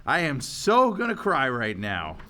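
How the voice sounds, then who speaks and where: A man's voice: medium pitch, wildly swooping pitch, measured pace, pushed and bright, full character voice. A man playing an earnest, self-mocking office worker giving a speech in a sitcom script reading. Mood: earnest, inspirational.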